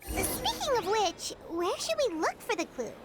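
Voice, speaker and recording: A woman voicing a tiny, excitable, childlike fairy companion in a video game, with a squeaky voice. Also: a little slow, background noise, heavily acted. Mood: eager, curious. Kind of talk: animated video game dialogue.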